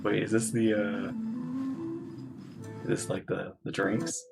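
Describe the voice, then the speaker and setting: A streamer casually reacting live to a game, chatty and prone to loud outbursts. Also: sits low, flat delivery, slow pace, gravelly. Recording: voice over loud game music. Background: game music.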